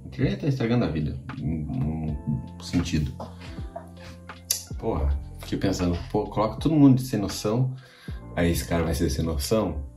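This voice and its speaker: Animated Brazilian man, echoey room. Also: low voice, moderate pace. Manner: slangy, swearing, animated. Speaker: podcast host. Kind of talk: casual reaction commentary. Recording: echoey room with music behind.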